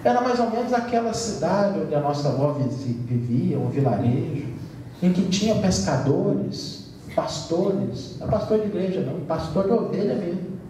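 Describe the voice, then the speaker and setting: A Brazilian man delivers a spiritist lecture in a measured, emphatic way, now and then easing into light humor. His voice sits high but sounds mellow and soft-edged. He is heard from a distance in a big, echoing event hall with a faint hiss underneath. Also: animated. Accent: Brazilian Portuguese. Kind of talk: lecture to an audience. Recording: roomy hall sound from far off with faint noise.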